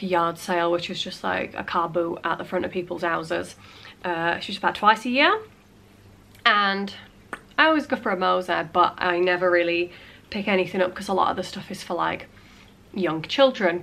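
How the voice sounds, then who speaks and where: A woman's voice: on the low side, vocal fry, a bit bright. Chatty woman with a cold. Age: late 20s. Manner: chatty, frequent "like" fillers, playful asides. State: congested with a cold. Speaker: vlogger. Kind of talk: casual chat. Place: small cluttered home room.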